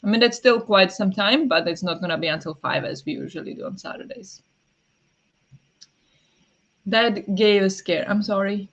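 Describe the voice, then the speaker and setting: Woman, livestream host, casual chat, middle pitch, slightly raspy, filler-heavy and apologetic, at home, slightly muffled lo-fi audio. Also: normal speed, pauses often. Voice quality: sometimes raspy, habitually clears throat. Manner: chatty, apologetic, greets chat viewers by name, frequent fillers and soft laughs.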